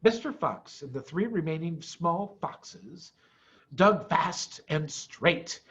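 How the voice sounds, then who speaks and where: A middle-aged man reading a children's storybook aloud in an expressive, emphatic way. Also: on the high side, slow, muffled recording.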